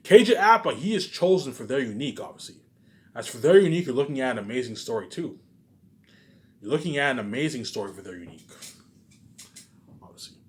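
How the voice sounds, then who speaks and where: A man's voice: medium pitch, expressive, fast talker, pauses often. A young adult man giving a rambling, casual monologue in a brash, boastful, repetitive way, with some swearing. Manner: repetitive, tacks on stock words at phrase ends. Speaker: aspiring film maker.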